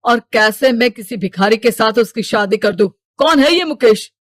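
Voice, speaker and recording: A woman's voice: fairly high pitch, expressive, normal speed, no room sound, clean studio sound. A woman voicing a stern, status-proud rich father, commanding and dismissive, in a cartoon story. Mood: indignant.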